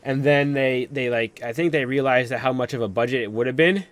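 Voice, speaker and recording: A man's voice: medium pitch, fairly quick, no room sound. A man hosting a casual live stream chat, talking in a rambling, filler-heavy way with lots of "like". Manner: rambling, peppered with "like". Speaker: host of a live stream panel.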